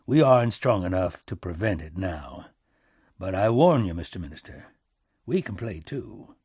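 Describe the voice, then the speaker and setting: A man's voice: sits low, very animated, normal speed, darkish tone, breathy edge, no echo, heavily acted. Audiobook role: weary, sardonic American president, folksy back-country twang, emphatic, very dry close sound male narrator acting it out. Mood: defiant, ironic.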